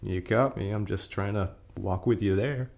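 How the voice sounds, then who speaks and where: Man playing a wry, gravelly, low-voiced academic. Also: normal speed, a little mellow. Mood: sheepish, flirty. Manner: sardonic, casual. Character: wry male academic colleague, scornful yet flirty. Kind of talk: audio drama acting.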